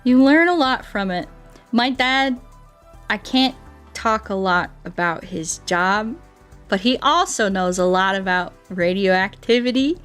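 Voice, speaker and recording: A woman chatting casually and telling stories as a streamer, with an expressive, playful delivery. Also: medium pitch, measured pace, smooth, soft background music. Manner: expressive, playful, acts out quoted dialogue. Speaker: game streamer chatting with viewers. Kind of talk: casual chat, storytelling.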